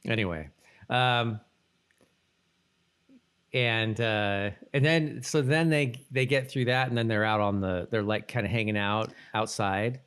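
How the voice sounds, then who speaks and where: A man's voice: fairly low pitch, measured pace, a bit bright, smooth. A man co-hosting a podcast, recapping a TV episode in a loose, conversational way, thinking aloud as he goes. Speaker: podcast co-host. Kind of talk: casual chat recapping a TV episode.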